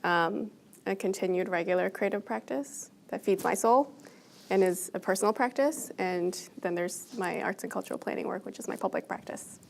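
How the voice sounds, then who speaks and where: American woman interview guest, medium pitch, reflective and explanatory, conversational delivery. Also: normal speed, creaky, slight background noise. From Boston, United States.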